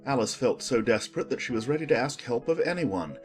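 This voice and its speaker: A man reading scripted storybook narration in an expressive storytelling manner. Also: medium pitch, fairly quick, faint music behind.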